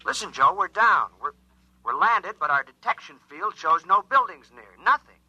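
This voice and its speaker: Piercing high-voiced alien pilot. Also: male voice, lively intonation, normal speed, bright, forceful tone, full character voice. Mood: alarmed. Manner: urgent, insistent, short clipped reports. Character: alarmed alien spaceship pilot, joking turning desperate, pleading. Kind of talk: 1950s science fiction radio acting. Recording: dull lo-fi 1950s radio drama.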